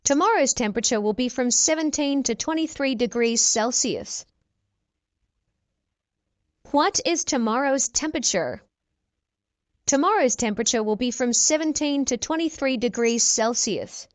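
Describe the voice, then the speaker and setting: A woman teaching English, reading short practice dialogues slowly and clearly and repeating each exchange for learners. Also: high voice, expressive, no room sound.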